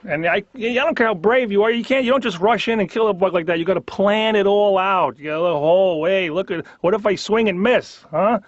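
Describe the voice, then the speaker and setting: Squeamish husband, slightly high voice. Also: male voice, a little fast, hardly any pauses, dry recording. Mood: exasperated. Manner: comic storytelling with acted-out asides. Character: squeamish, exasperated husband venting in therapy. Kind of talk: stand-up routine as cartoon dialogue. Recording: dull, lo-fi audio.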